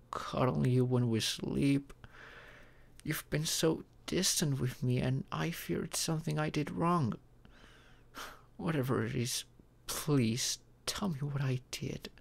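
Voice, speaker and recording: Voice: mid-range voice, gravelly. A voice actor playing an upset, caring live-in partner in an intimate audio roleplay, emotional and pleading. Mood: apologetic, sad. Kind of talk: intimate ASMR roleplay voice acting, one side of dialogue.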